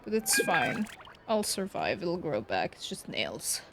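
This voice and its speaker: A woman's voice: on the low side, huge pitch swings, unhurried, background noise. A woman live-streaming a game, chatting casually and reacting aloud in short informal asides. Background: game music.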